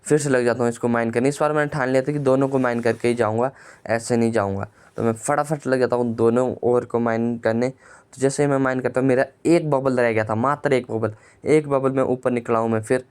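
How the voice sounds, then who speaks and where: A man with a sore throat narrating his own gameplay for his channel in a plain, flat storytelling way, often repeating words. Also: medium pitch, fairly quick, clean tone, dry recording. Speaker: gaming channel creator.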